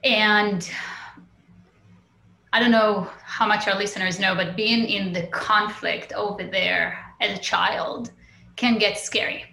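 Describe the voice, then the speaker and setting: Israeli-born woman, interview guest; bright, forceful voice in an echoey room, reflective and halting. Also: medium pitch. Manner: open, reflective, many fillers and restarts. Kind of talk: personal story in an interview.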